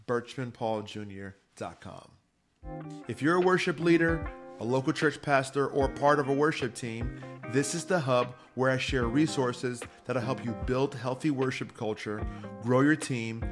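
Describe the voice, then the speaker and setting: A man hosting a casual songwriting tutorial, talking to viewers in a conversational, encouraging and explanatory way. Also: medium pitch. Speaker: host, worship songwriting teacher.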